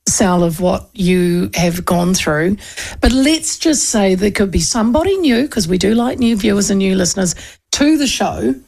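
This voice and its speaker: A woman's voice: mid-range voice, expressive, moderate pace, creaky, a little mellow. Chatty, laughing New woman host. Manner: bubbly, chatty, laughs often, restarts sentences. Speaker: radio and online show host. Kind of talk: casual chat show. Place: small studio.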